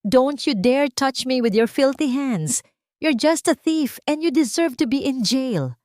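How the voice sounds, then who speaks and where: A woman voicing a haughty, cruel young fiancée in an audio story, shrill and scornful as she barks orders. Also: expressive, unhurried, a little mellow, no room sound, clean studio sound. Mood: furious.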